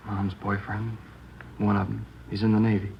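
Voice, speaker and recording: A man playing a shaken, upset schoolboy in a 1950s radio crime drama, speaking haltingly and plainly with an American accent. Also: lowish voice, completely flat delivery, gravelly. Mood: subdued, halting. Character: shaken schoolboy who found his mother killed. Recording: dull, noisy vintage radio recording.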